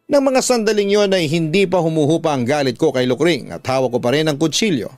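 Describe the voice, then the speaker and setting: Man, Filipino accent, slightly high pitch, radio storyteller, dramatic letter reading, advice-giving host, dry studio-like sound. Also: lively intonation, brisk, darkish tone, no echo, soft background music. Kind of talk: dramatic first-person reading of a listener's letter.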